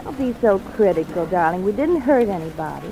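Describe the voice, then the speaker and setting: A woman's voice: mid-range voice, sing-song, brisk. A woman playing a breezy, flirtatious, amoral femme fatale stunt performer in a 1940s radio drama. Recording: noisy old radio recording.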